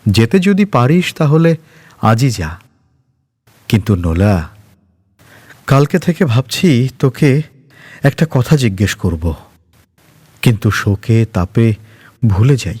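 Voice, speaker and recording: A man's voice: mid-range voice, very animated, slow pace, long pauses, darkish tone, slightly rough, heavily acted. A man voicing a grieving, dutiful master who speaks in a commanding way to his servant, in a story reading. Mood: questioning. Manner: commanding, muttering to himself at times.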